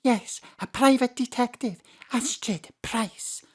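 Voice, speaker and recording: Slow, high-voiced conspiring accomplice. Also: female voice, husky. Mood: conspiratorial. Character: scheming woman accomplice, adult. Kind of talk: comic audio drama acting. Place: home studio.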